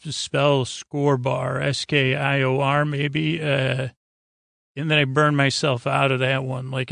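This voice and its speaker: A man speaks in a gravelly, fry-laden voice, recorded very dry. He hosts a sleep podcast as a wandering monologue. His delivery is halting and whimsical, looping back on itself and leaving thoughts half finished. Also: mid-range voice, a bit bright, no echo. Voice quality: creaky. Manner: meandering, halting, repeats and trails off mid-thought; whimsical, silly tangents. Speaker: podcast host. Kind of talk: rambling bedtime podcast monologue.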